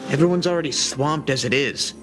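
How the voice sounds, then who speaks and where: A man's voice: fairly high pitch, a little fast, natural voice. A man playing a shifty, defensive space station worker in a game, suspicious and grumbling as he talks. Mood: grudging, weary. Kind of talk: scripted game dialogue. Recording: music playing behind the voice.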